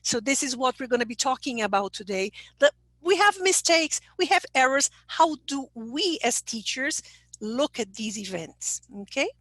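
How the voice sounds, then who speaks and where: Woman, high voice, teacher trainer, explanatory, dry sound. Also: animated, moderate pace, a bit bright, dry recording, poor audio. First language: Portuguese. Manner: explanatory, checks in with okay. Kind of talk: online presentation.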